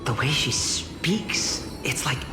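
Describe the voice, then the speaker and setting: Young male hero, slow, hushed, sincere, thoughtful, fantasy game, echoing hall with music. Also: medium pitch, expressive. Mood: thoughtful, moved. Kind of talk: dubbed fantasy game dialogue. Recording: long reverb with loud music.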